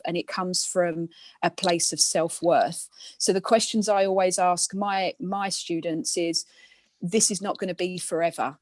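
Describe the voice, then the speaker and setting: A woman's voice: fairly low pitch, no room sound. A woman speaking earnestly and emphatically as a guest, giving motivational careers advice to students. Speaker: guest speaker, industry professional.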